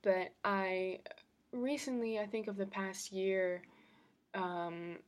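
A woman's voice: medium pitch, fairly even intonation, slow pace. A young woman talking casually on her own podcast, rambling with fillers and restarts, slightly congested from a cold. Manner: rambling, chatty, laughs often, many fillers and restarts. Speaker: podcast host. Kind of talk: casual solo podcast chat. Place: her room at home.

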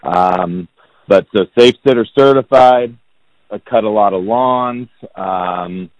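A man in his thirties from Indianapolis, a real estate agent talking about himself as a podcast guest in a monotone, self-deprecating way. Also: fairly low pitch, slow pace, no echo. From Indianapolis, United States. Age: 30s. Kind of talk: casual podcast interview. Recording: dull, lo-fi sound.

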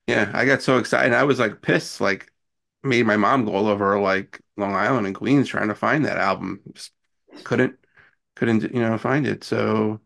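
A middle-aged American man, interviewing casually in an informal, halting, agreeable way. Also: on the low side, steady tone, vocal fry, fairly bright tone. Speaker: interviewer, host.

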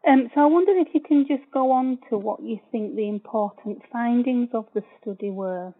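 Husky woman interviewer on a phone line. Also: fairly high pitch. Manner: polite, measured. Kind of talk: interview questions.

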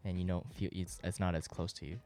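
Young man, deep and mellow, Japanese native. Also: fast. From born in South Korea, raised in Japan. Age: 20s. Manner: relaxed, leans on "you know" and "like" fillers. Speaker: R&B artist and producer. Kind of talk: casual interview talk.

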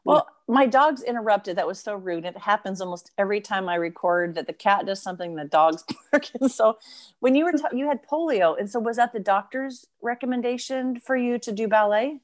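A woman's voice: mid-range voice, a little fast. A woman hosting a podcast, bubbly and enthusiastic, rambling a little and apologizing as she asks her questions. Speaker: podcast host, former dancer. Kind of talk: casual remote interview.

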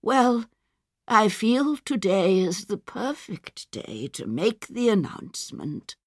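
A man's voice: high voice, sing-song, slow pace, dry recording, studio quality, voice pushed up, exaggerated voice. A man voicing a sweet, muddled 99-year-old headmistress in a sing-song, rambling manner for a storybook reading. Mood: doddery, stately. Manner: rambling, forgetful. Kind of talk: storybook character voice.

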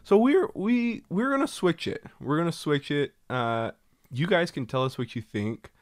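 A man's voice: fairly high pitch, animated, moderate pace, no echo. A young man co-hosting a podcast, chatty and laughing often as he explains things. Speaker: podcast co-host. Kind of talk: casual chat.

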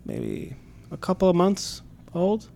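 A man's voice: sits high, wildly swooping pitch, slow, vocal fry, a little mellow, slight background noise. A man in his twenties who grew up in Sweden, answering interview questions as a camp staff guest, hesitant with many fillers. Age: 20s. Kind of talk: casual interview.

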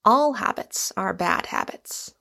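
Lady doing a bitter irish guy in an audiobook, gravelly creak, calm and ironic, gloomy. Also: fairly high pitch, pitched above the performer's own voice, exaggerated voice. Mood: bitterly calm. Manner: deliberate, deadly calm irony. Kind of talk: acted audiobook dialogue.

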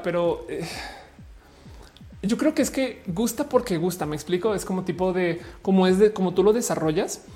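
Mellow, low-pitched Mexican woman chatting. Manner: colloquial, slangy. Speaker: livestream host answering viewer questions. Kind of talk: casual chat, reading out comments and replying.

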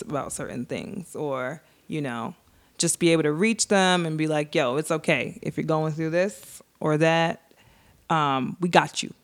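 A woman from Queens, co-hosting a podcast and telling stories in a relaxed, playful way. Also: sits low. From Queens, New York. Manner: relaxed, playful, drawn-out storytelling. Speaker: podcast co-host. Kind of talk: casual storytelling chat.

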